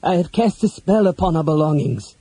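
A man's voice: fairly high pitch, lively intonation, brisk, warm tone, slightly rough, no room sound, rough recording. A man voicing a sly, sinister wizard in a fantasy story, hissing with theatrical menace. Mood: ominous threat. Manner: hissing, ominous.

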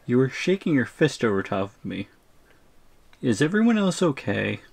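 A man acts as a tiny, exasperated captive in an audio roleplay. His voice is gravelly and swings widely in pitch as he negotiates, firm and incredulous. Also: big pitch swings, normal speed.

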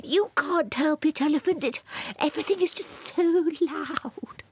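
A woman voicing a gentle, fretful, noise-shy mole in a children's cartoon, delicate and often hushed. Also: high-pitched, a little slow.

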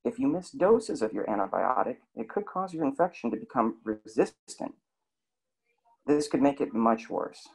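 A man narrates a clinic's health lesson in a scripted, explanatory way, often turning to the listener with questions. His voice is gravelly and a little husky. His pitch moves up and down a lot. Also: animated, normal speed.

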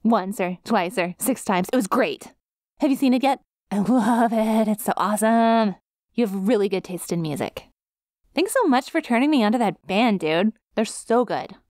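Female actor for scatterbrained dog-girl student, ASMR roleplay. Mid-pitched, casual, rambling and friendly, recorded dry. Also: animated, normal speed, a little mellow, no room sound, natural voice. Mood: enthusiastic, friendly. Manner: casual, rambling, hesitant, easily sidetracked. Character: scatterbrained, friendly inu dog-girl student, easily distracted study buddy. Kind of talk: ASMR roleplay, one-sided conversation with listener.

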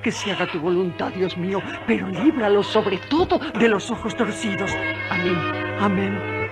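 A woman's voice: medium pitch, normal speed, over loud music, close to an everyday voice. A woman playing a chatty, devout and playful midwife servant in a radio drama, effusive, folksy and teasing. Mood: pleading.

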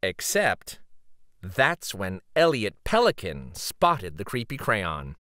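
A man narrates a children's story in a dramatic read-aloud style. He takes his time, leaving theatrical pauses for suspense. His pitch moves widely, and the sound is dry. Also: wildly swooping pitch, bright, forceful tone, dry recording. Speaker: picture book read-aloud host.

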